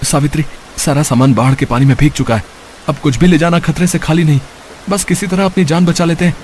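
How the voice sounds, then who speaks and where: A man's voice: a little high, fairly even intonation, a little fast, darkish tone, natural voice. An anxious, humble labourer father played by a man in a cartoon story. He talks in an earnest, pleading way, with noise behind him. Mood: anxious resolve. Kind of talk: Hindi cartoon story character voice.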